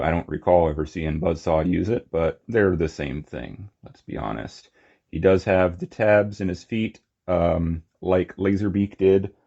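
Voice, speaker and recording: A man's voice: low voice, a little mellow, muffled recording. A man giving a casual, unscripted hands-on demonstration as a hobby reviewer, with informal muttered asides. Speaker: toy collector and reviewer.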